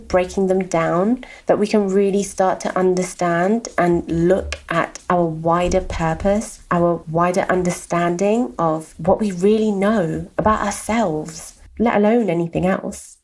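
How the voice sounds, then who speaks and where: A middle-aged woman from London with a softened London accent, hosting a reflective solo podcast and repeating words for emphasis. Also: fairly low pitch, a little slow, poor audio. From London, England. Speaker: podcast host.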